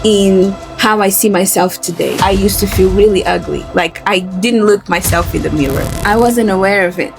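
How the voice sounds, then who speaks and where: A woman in her twenties who grew up in Portugal, speaking softly and reflectively as a guest in a candid interview. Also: mid-range voice, normal speed, creaky, hardly any pauses, music in the background. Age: 20s. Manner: soft-spoken, reflective, with frequent fillers.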